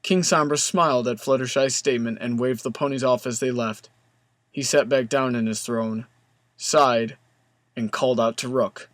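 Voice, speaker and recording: A man's voice: mid-range voice, fairly even intonation, moderate pace, no room sound. A man reading scripted story narration as the narrator of a comic tale.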